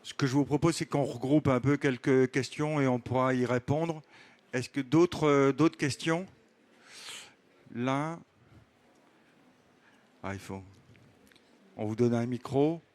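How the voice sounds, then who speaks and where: Guy chairing a panel, middle pitched voice, short informal prompts. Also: takes it slowly, pauses often, a little mellow. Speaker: panel moderator. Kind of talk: informal moderating.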